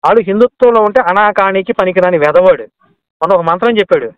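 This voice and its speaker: A man in a heated phone argument, aggressive, abusive and quick to interrupt, on a lo-fi call recording. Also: high-pitched, clean tone, no echo. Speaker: caller in a heated phone debate.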